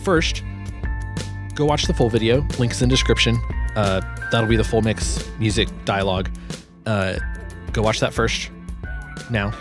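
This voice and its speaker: Low-pitched man, casual explainer. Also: unhurried, pauses often, music in the background. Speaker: sound designer presenting own project.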